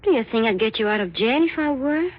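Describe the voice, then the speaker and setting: Husky, mysterious femme fatale. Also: female voice, on the high side, lively intonation, fast. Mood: tender. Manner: cool, cryptic, coaxing. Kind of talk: 1940s radio mystery acting. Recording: dull old radio recording.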